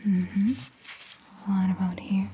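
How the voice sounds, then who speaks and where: A woman playing a caring vampire doctor in an ASMR roleplay, whispering gently and reassuringly in a breathy, hushed voice. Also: medium pitch, flat delivery, muffled recording, slight background noise, lightly acted. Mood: gentle, reassuring. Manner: gentle, slow, reassuring, softly questioning. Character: caring, attentive female vampire doctor tending a patient. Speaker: ASMR performer. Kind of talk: soft-spoken ASMR roleplay, mostly whispered.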